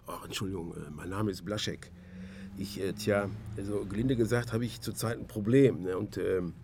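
A man's voice: sits low, normal speed, creaky, a little mellow, a little hiss or hum. A man playing a dogged, sardonic amateur investigator in a naturalistic radio drama, colloquial and hesitant. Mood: hesitant, probing. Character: dogged, sardonic ex-surveyor turned driver, investigating on his own.

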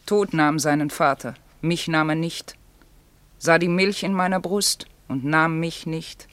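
An actress playing a haunted, grieving young mother, speaking in a solemn, measured way in a poetic radio drama. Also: low voice, fairly even intonation, a bit bright, no room sound.

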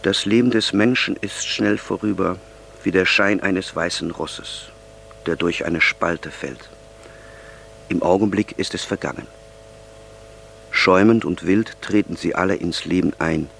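An actor playing an earnest, solemn young man speaking deliberately in an audio drama. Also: low-pitched, gravelly, fairly bright tone, slight background noise, heavily acted.

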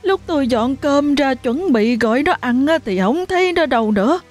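A woman's voice: high voice, animated, normal speed, a little mellow, soft background music, voice pushed up. Wailing older village mother as a woman storyteller puts her on, crying, pleading, raised voice. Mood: sobbing, frantic.

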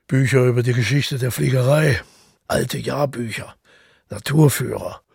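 Husky, gravelly brooding recluse. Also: male voice, medium pitch, a little mellow, no echo, clean studio sound, exaggerated voice. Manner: musing, halting monologue. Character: reclusive brooding Scottish countryman remembering his childhood. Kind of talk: radio play monologue.

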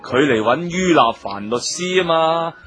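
A man acting an anxious, placating, self-interested gentleman in a radio drama, speaking persuasively. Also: fairly high pitch, expressive, unhurried, faint music behind. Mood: persuasive, probing. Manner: persuasive, coaxing.

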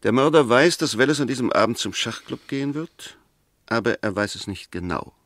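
A man's voice: mid-range voice, animated, fairly quick, fairly bright tone, no echo, clean studio sound. A man acting an insistent, courteous but sceptical defence lawyer in a 1960s German radio drama, precise and argumentative. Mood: insistent, reasoning. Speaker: actor in a radio play.

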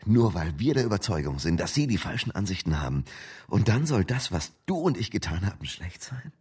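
A calm, reassuring lover in a naturalistic radio drama. His voice sits very low and is strongly husky, with breath in every phrase. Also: brisk, exaggerated voice. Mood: earnest, persuading.

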